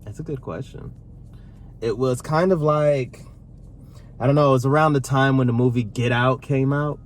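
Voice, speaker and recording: A man in his thirties co-hosting a casual sit-down Q&A with his partner, talking in a relaxed, rambling way. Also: mid-range voice, moderate pace, a little hiss or hum. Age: 30s. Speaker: vlog co-host.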